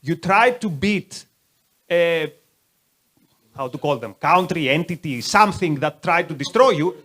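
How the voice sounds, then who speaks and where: A man's voice: fairly high pitch, expressive, unhurried, a bit bright. A man leading a conversational lecture, taking questions from the audience in a museum hall. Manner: conversational, uses many "like" asides.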